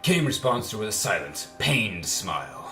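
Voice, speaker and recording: A man's voice: fairly low pitch, sing-song, moderate pace, bright, forceful tone, soft background music. A man co-commentating on a video game in casual, playful banter, joking his way through bits of dialogue. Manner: playful, goofy. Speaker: let's play co-commentator.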